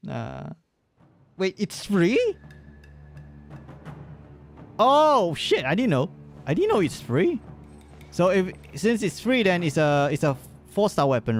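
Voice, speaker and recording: A man's voice: a little high, big pitch swings, slow, long pauses, soft background music. A man giving casual, rambling live commentary on a game stream, swearing freely at times.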